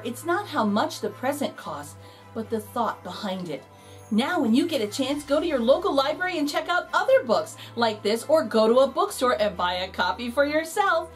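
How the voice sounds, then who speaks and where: A middle-aged woman hosting a children's read-aloud, narrating in an expressive, deliberate, teacherly way. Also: high voice. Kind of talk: storybook narration. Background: music.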